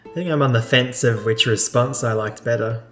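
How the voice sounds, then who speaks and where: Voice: medium pitch, moderate pace, fairly bright tone. Casual gamer commentating, music behind. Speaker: gamer, let's play host. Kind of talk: casual let's play commentary.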